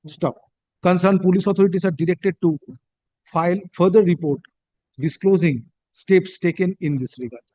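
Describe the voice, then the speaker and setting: High-pitched Indian male judge, halting delivery. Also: dark tone, no room sound, rough recording. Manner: halting, deliberate, repeats words. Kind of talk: dictating a court order and remarking from the bench. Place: courtroom. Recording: dry live court hearing feed.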